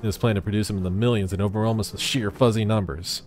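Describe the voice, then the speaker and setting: A man's voice: fairly low pitch, fairly even intonation, fast talker, darkish tone, natural voice. A man voicing a melodramatic, indignant male reporter, paranoid and blustering, from a video game. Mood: suspicious. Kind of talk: dramatic voicing of game dialogue.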